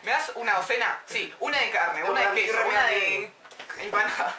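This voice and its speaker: A man's voice: high voice, a little fast. A teenage boy with an Argentine accent, joking crudely and boisterously with friends in a small bedroom, caught on a handheld camera. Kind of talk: casual joking chat among friends. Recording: echoey room, loud chatter of friends.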